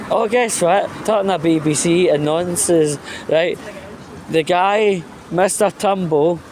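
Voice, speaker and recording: Male vlogger, unhurried and halting, emphatic and foul-mouthed, informal rant, noticeable street-like background noise. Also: on the high side. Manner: blunt, sweary, emphatic. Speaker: vlog host.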